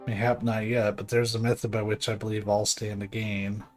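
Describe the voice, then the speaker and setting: A man voicing a courteous, dignified ruler in a video game, with formal, archaic, measured diction. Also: lowish voice, little pitch movement, faint music behind, natural voice. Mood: formal, earnest.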